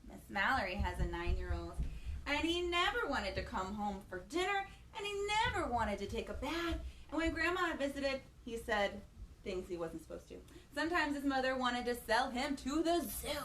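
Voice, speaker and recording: A woman with a high voice reads a picture book to young children as a storytime host. She is expressive and animated, with gasps and questions for the little ones. The room is echoey, with faint noise behind her. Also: normal speed.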